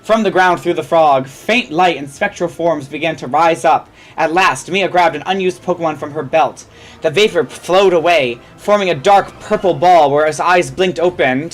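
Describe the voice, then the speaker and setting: A woman's voice: low-pitched, normal speed, rough recording. A woman reading fan fiction aloud as a dramatic story narrator.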